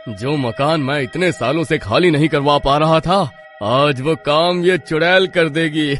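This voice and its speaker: A man plays a scheming landlord in a village horror tale. He gloats in a forceful, sharp voice with soft music underneath. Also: a little high, unhurried. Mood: gloating glee. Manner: gleeful, exclaiming. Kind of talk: horror story character acting.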